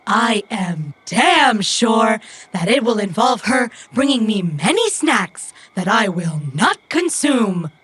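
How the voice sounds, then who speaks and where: A woman's voice: fairly high pitch, sing-song, slow, fairly bright tone, breathy edge, heavily acted. A woman playing an earnest, childlike and stubborn runner in an audio drama, with formal, literal, precise phrasing. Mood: animated, determined. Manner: formal, stilted, precise phrasing without contractions.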